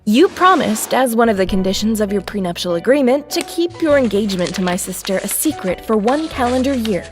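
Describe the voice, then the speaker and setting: A woman playing a cool, shrewd deputy mayor in an audio drama, speaking with crisp, sardonic authority. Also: on the high side, animated, a little fast, soft background music, close to an everyday voice. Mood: cool, formal.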